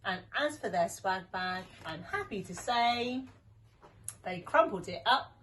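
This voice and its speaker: A woman's voice: medium pitch, animated, slow, a bit bright, slight background noise, rough recording. A woman reading a children's rhyming story with dramatic, rhythmic expression. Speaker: deputy headteacher reading a story.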